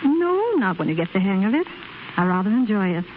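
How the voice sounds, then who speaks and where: An actress playing a young blind woman who talks softly and gently, with slight hesitations. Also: mid-range voice, very animated, brisk, close to an everyday voice. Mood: gentle. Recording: tinny noisy 1930s radio broadcast.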